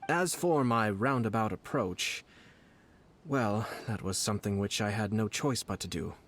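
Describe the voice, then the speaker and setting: An actor playing a courteous, calculating young clan lord in a video game, speaking in a measured, formal and composed way. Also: male voice, lowish voice, fairly bright tone. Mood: measured, explanatory. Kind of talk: video game character acting.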